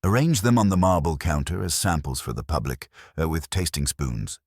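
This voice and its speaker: A man voicing a grave, imperious male master detective in an audiobook, formal and deliberate in his pronouncements. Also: very deep voice, animated, darkish tone, slightly rough, dry recording, clean studio sound, exaggerated voice. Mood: pedantic, deliberate. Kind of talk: audiobook character dialogue.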